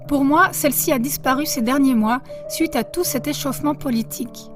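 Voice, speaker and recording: A woman journalist speaks in a calm, reflective way. Her voice is markedly high, and clearly audible music plays beneath her words. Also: a little fast. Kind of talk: voiced-over quoted statement.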